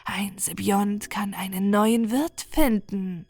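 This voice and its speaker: Audiobook creature: a contemptuous otherworldly male being, whispered slow and airy, put on by a woman reader. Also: medium pitch, slightly rough, no echo. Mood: scornful whisper.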